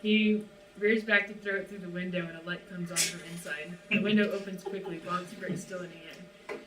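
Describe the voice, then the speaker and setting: A woman's voice: fairly low pitch, steady tone, moderate pace, over a noisy crowd. A woman reading stage directions as scripted narration at a live table read. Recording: roomy, distant mic, clearly noisy.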